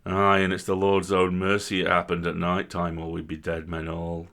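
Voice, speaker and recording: A man's voice: deep voice, monotone, moderate pace. Man putting on a growling, burly coal miner. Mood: grim. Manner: blunt, forceful. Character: huge red-headed growling coal miner, spokesman.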